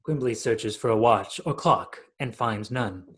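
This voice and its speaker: Husky man reading stage directions. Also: medium pitch, a little slow, fairly bright tone. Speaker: narrator. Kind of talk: scripted narration of stage directions. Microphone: video call.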